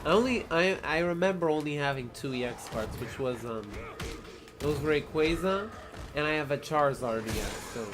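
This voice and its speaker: Young man, American accent, somewhat high pitch, casual reminiscing, halting phrasing, clearly noisy recording. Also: animated. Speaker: friend of the host.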